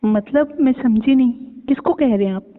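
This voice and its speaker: A man's voice: extremely high pitch, normal speed, faint music behind. A man playing a coy, pushy, easily offended young woman with a Pakistani accent in an improvised phone prank. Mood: needling. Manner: hesitant, needling, repeatedly questioning. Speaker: prank caller. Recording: echoey hall sound, dull phone-call audio.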